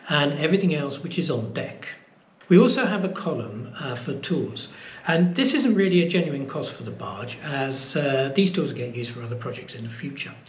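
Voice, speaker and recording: A man's voice: on the high side, moderate pace. Chatty older man, echoey room. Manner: relaxed, explaining with many uh fillers. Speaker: boat-building vlogger. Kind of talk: casual chat to camera. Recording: echoey room with faint noise.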